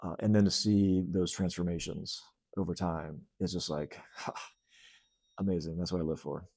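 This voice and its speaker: Deep-voiced man in his late fifties. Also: fairly even intonation, normal speed, darkish tone, dry recording, clean studio sound. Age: late 50s. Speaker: fitness center owner, interview guest. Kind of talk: casual conversational interview.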